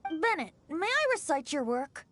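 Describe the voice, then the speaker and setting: A woman's voice: high voice, big pitch swings, moderate pace, fairly bright tone, a little hiss or hum. A woman voicing a breezy young bard in a video game, teasing, lilting and theatrical. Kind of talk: animated video game voice acting. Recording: music behind the voice.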